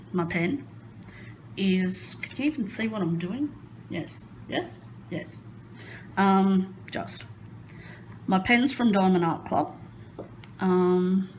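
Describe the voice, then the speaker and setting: Slow-talking nervous Australian woman. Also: mid-range voice, a little hiss or hum, poor audio. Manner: nervous, rambling, self-deprecating, many ums and laughs. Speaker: crafting vlogger. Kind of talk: nervous casual chat while diamond painting. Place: indoors at a craft table.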